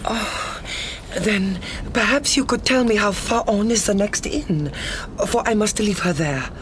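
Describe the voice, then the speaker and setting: A woman's voice: mid-range voice, measured pace, natural voice. Noble mother, female actor, mysterious and imperious. Formal, grave, theatrical gothic period delivery; noticeable background noise. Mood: grave, urgent. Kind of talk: gothic period audio drama acting. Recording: clearly audible background noise and ambience.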